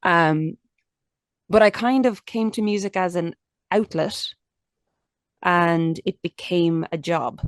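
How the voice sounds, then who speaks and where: A woman's voice: on the low side, slow pace, pauses often, no echo, clean studio sound. A young adult Irish woman in a casual interview, talking candidly and chattily with lots of restarts and fillers. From Ireland. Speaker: interview guest, musician.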